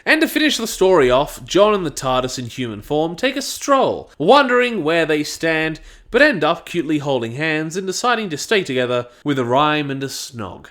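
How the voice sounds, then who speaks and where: Guy narrating a scripted review, kinda high voice, really up and down intonation. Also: very animated, normal speed, fairly bright tone. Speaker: reviewer, channel host.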